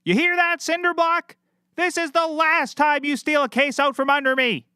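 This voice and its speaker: Man playing a high-pitched, excitable, bumbling private eye. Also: moderate pace, no room sound, studio quality. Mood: melodramatic dismay. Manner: melodramatic, cheerfully clueless, exclaiming. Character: bumbling, oblivious, excitable male private detective. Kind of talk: scripted audio comedy acting.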